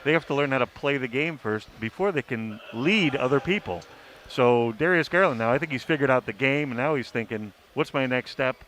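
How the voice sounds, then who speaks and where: A man's voice: mid-range voice, lively intonation, brisk, a bit bright, rough recording. A man talking analytically as a beat writer on a sports panel, listing examples to make his points. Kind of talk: pre-game sports panel discussion. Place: sports arena. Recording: faint venue noise.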